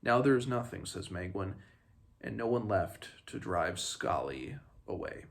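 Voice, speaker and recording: A man talks through a book in a halting, thoughtful way, as a read-along host. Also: deep voice, expressive, vocal fry. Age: 20s. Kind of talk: casual book commentary with passages read aloud. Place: small room at home, indoors.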